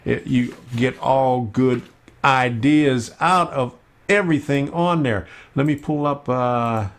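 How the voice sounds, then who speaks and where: A man hosts a casual live stream from his computer desk, talking at a leisurely pace. His voice sits in an ordinary middle pitch, and he fills the gaps with relaxed fillers while he pulls things up on screen. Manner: slow, unhurried, with many fillers while working on screen. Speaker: host of a model railroading live stream.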